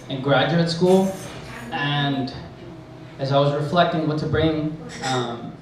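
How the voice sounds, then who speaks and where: A young man born in Mexico whose first language is Spanish, telling a personal story as a high school teacher at a live event, pausing often with fillers. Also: noisy background. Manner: hesitant, many pauses and fillers, mixes in Spanish. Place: event hall. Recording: echoey hall, mic far off.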